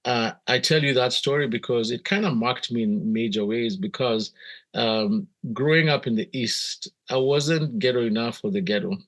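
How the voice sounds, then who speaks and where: A middle-aged man with a Kenyan accent, telling personal stories in a reflective, measured, conversational way as an interview guest. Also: medium pitch, fairly bright tone. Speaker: podcast guest, missionary pastor.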